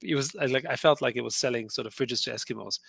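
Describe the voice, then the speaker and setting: Fast chatty man with a gravelly edge. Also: mid-range voice, fairly bright tone, no echo. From Austria. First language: German. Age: middle-aged. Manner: chatty, laughs often, many fillers and restarts. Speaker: guest entrepreneur. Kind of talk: casual interview storytelling.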